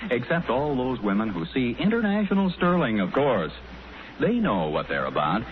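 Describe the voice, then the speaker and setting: An American man working as a folksy 1940s radio announcer, delivering commentary and sponsor pitches. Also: slight background noise. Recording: thin, phone-like 1940s radio broadcast.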